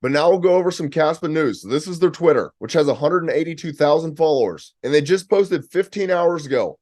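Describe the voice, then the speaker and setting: A man's voice: on the high side, darkish tone. A man hosting a crypto channel, talking up coin prices in hyped, emphatic commentary while losing his voice. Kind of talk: fast crypto market commentary.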